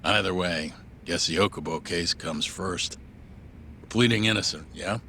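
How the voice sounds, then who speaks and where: A man's voice: low voice, measured pace, creaky, pushed and bright, slight background noise, heavily acted. A man voicing a blunt, teasing friend in a video game cutscene.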